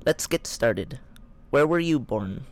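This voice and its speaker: A man's voice: medium pitch, expressive, takes it slowly. A shy young man hosting his own podcast, reading his interview questions from a script. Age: young adult. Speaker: podcast host.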